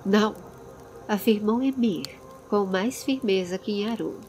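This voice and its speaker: Brazilian female storyteller, fantasy audiobook narration, mid-pitched, ambient sound behind the voice. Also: measured pace, warm tone, a little hiss or hum.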